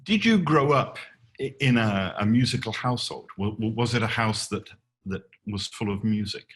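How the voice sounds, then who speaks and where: Low-voiced man interviewing a guest. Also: lively intonation, normal speed, a bit bright. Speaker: podcast host, interviewer. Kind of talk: conversational arts interview.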